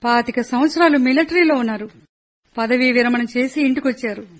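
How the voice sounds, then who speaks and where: A woman voices a middle-aged soldier's wife in a radio play, high-voiced, who is constantly afraid for her husband. She speaks in an earnest, confiding way. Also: fairly even intonation, fairly quick, lightly acted. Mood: pleading. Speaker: narrator and lead.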